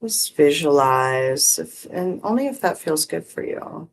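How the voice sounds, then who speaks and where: A meditation teacher guiding breath and visualization in short, gently permissive phrases with long pauses. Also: medium pitch, animated, gravelly. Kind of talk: guided meditation, unhurried instruction.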